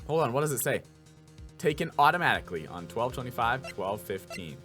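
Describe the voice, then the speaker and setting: Excitable young man thinking aloud. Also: medium pitch, fairly quick, soft background music. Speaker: voice actor playing a game. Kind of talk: let's play reaction commentary.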